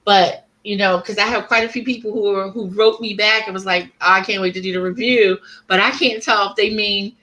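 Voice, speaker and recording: A woman chatting as a guest author on a casual livestream, lively and quick to laugh. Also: medium pitch, slight background noise, rough recording.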